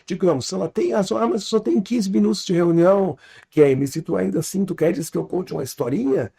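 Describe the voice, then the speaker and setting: A man speaks with a foreign accent picked up in southern Brazil. His voice is gravelly and sits a little high for a man. He teaches in an animated way, checking in with short tags and dropping into quiet asides. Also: fairly quick. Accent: foreign, learned in southern Brazil. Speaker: storytelling consultant, guest. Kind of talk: interview, explaining with examples.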